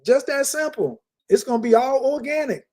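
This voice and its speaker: A man teaching in an emphatic, lecturing way on a casual podcast, repeating key words slowly. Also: very high-pitched, lively intonation, darkish tone. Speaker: spiritual teacher. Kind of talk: casual podcast talk, preaching.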